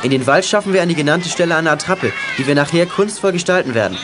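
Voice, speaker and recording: A man's voice: on the high side, expressive, fast talker, crowd noise, noisy background, close to an everyday voice. A young male actor playing a clever, bossy schoolboy leader in a detective radio play, commanding and self-assured. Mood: planning, instructing. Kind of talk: youth radio play acting.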